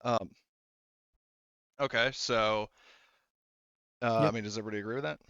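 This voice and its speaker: A man running a tabletop roleplaying game casually as game master, talking in a halting, rambling way. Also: lowish voice, steady tone, a bit bright, clear, resonant tone, no echo, dull audio.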